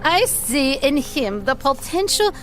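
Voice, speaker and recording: An actress playing a concerned, caring schoolteacher in an audio drama, speaking in a measured, earnest way. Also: female voice, high-pitched, sing-song, heavily acted. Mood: earnest pleading. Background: background music.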